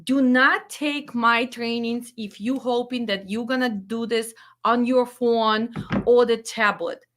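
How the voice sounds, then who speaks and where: Ukrainian-accented woman, dispatch trainer; firm, direct, unhurried delivery. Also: a little high, steady tone, fairly bright tone. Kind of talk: promotional webinar announcement.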